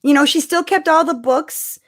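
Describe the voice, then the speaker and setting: A middle-aged woman co-hosting a live podcast, chatting casually with an animated, giggly and excitable manner. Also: high-pitched, moderate pace. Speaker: podcast co-host. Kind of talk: casual fan chat on a live stream.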